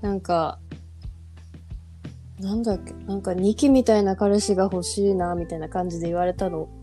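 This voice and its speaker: A young woman co-hosting a casual talk show, speaking in a relaxed, understated way. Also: mid-range voice, moderate pace, soft background music. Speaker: podcast co-host. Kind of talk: casual chat.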